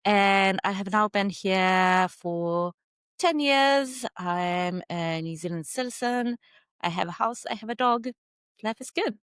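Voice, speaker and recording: A woman, telling her story as a podcast guest in a measured, thoughtful way. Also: medium pitch, fairly bright tone, clear, resonant tone, no echo, clean studio sound. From Argentina. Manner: measured and thoughtful, with some fillers and light laughs. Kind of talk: casual interview storytelling.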